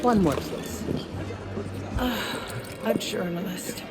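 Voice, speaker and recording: An actress playing a bitter, haughty, neglected politician's wife in a video game, arch, sarcastic and theatrical. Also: female voice, medium pitch, slow pace, close to an everyday voice. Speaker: senator's wife. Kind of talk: acted game cutscene dialogue. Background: music.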